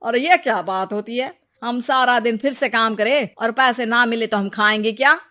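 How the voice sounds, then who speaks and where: Lady doing mocking doubter in a cartoon story, high voice, confrontational. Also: moderate pace, sharp tone. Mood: indignant. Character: challenging, scornful doubter of a newcomer. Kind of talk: Hindi moral-story cartoon acting.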